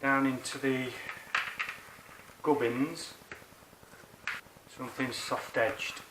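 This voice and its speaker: A roomy, noisy recording of a man talking some way from the mic. He explains a practical job in a chatty, colloquial way, like an informal how-to video. His pitch is average for a man. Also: steady tone, very slow. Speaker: motorbike rider presenting a how-to video. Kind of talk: casual hands-on tutorial. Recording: roomy, distant mic, clear background noise.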